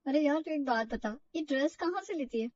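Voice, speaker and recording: A woman puts on a fashion-loving young college friend in an audio story. She is chatty and inquisitive, high in pitch, a bit off the mic. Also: animated, fairly quick, a little mellow, dry recording, recorded from a distance, lo-fi sound. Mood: curious.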